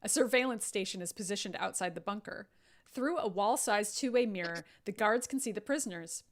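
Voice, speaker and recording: A woman's voice: medium pitch, fairly quick. A woman host reading screenplay stage directions aloud, with casual asides. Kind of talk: script narration with casual asides.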